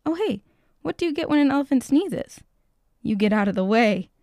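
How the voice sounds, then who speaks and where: A woman's voice: high-pitched, lively intonation, normal speed, a little mellow, no room sound, studio quality. Woman voicing a big, jokey, kindly papa elephant. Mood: jovial. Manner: kindly, joking. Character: big, patient, jokey, loving male elephant foster father.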